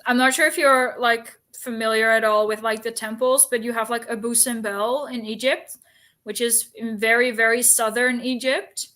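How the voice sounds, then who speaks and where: A woman with a somewhat high-pitched voice talks casually, as if co-hosting a discussion. She explains things in a talkative, informal way, dropping in asides as she goes. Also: steady tone, moderate pace, sharp tone. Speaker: book discussion co-host. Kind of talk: casual chat about books and history.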